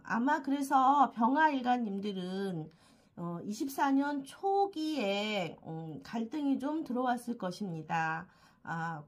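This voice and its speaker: A woman with a slightly hoarse throat from a cold explains a monthly fortune reading as a host, haltingly, with frequent filled pauses. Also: on the high side. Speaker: fortune-telling channel host. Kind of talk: explanatory monthly horoscope talk.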